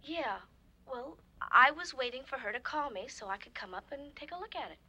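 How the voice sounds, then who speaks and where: An unimpressed young-sounding woman in a radio comedy. Her voice is high and sharp-edged, with a doubting, matter-of-fact delivery. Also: animated, brisk, breathy edge, heavily acted. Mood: hesitant, knowing. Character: skeptical, unimpressed female friend.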